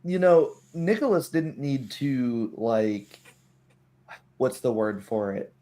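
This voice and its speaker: A man's voice: medium pitch, slow, dark tone. A man talking casually as a game master, rambling and acting out imagined remarks as he goes. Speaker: game master of a tabletop roleplaying group. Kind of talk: casual chat about tabletop game rules.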